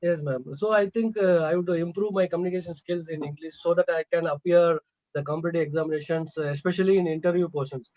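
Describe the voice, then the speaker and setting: A man's voice: a little high, fairly even intonation, fast talker, no echo. A man in his thirties with a Tamil accent, a native Tamil speaker, practising English with a tutor on a video call, hesitant and polite with many fillers. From Tamil Nadu, India. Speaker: English learner. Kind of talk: online tutoring conversation. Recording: muffled lo-fi call audio.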